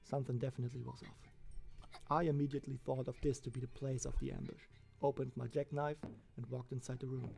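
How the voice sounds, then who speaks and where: Man, horror narrator, scripted first-person storytelling, heavy vocal fry, gravelly, mid-range voice. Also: medium pitch, little pitch movement, fairly quick, warm tone, faint music behind.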